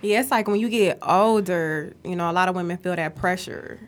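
A woman's voice: fairly low pitch, a little fast. A woman in her thirties speaking in a reflective, agreeable way in a casual podcast chat. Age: 30s.

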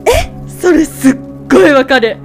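A woman playing a sweet, excitable young woman in love in an amateur radio drama, gushing with wonder. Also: high-pitched, moderate pace, over music. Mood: dreamy, delighted.

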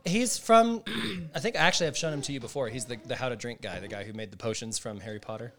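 A man's voice: medium pitch, fast, heavy background noise. A man co-hosting a casual chat, telling stories with frequent laughter. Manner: animated storyteller, laughs often.

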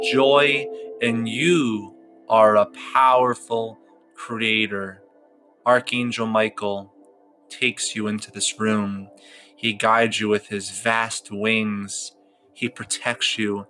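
A man leading a guided meditation in a slow, soothing, drawn-out way with long pauses. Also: mid-range voice. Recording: clear music bed behind the voice.

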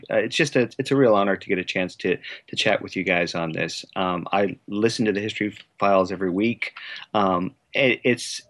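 A man's voice: lowish voice, fairly bright tone. A man speaking as an interview guest, conversational and hesitant, circling around his points with many hedges. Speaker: interview guest, writer and director.

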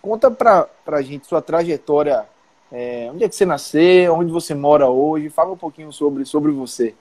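A man's voice: on the high side, moderate pace, warm tone. A man hosting an interview in a friendly, informal way, often checking in with the audience. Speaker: interview host, physician.

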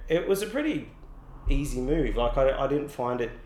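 A man's voice: mid-range voice, animated, normal speed, a little mellow, a little hiss or hum. A young Australian man, an artist, chatting in an interview in a loose, rambling way full of "like" and restarts. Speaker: artist guest. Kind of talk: casual interview. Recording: roomy echo.

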